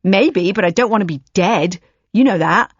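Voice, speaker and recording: A woman's voice: medium pitch, normal speed, slightly rough, dry recording, highs cut off, natural voice. Sulky teenage girl, voiced by a female reader, dry close sound.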